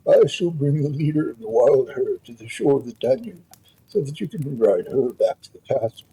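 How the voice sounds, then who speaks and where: Storybook stallion, played by a male reader: wise, loyal counsellor, very husky voice, measured and lively in pitch. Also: low-quality recording. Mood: reassuring. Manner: measured, instructing, reassuring. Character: wise, loyal talking stallion who counsels his master.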